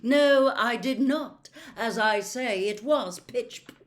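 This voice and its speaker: A haughty older lady seer from a storybook. The voice sits high and shrill, and it drags words out very slowly with theatrical, mystical weight. She hems defensively, as if her dignity is at stake. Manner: shrill, drawn-out, dramatic, hesitant hemming.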